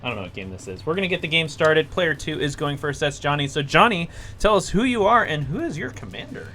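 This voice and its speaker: A man hosting a casual card game stream, upbeat and quick with jokes. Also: a little high, very animated, sharp tone, faint music behind. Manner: upbeat, jokey, quick to tease. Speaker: stream host running the game.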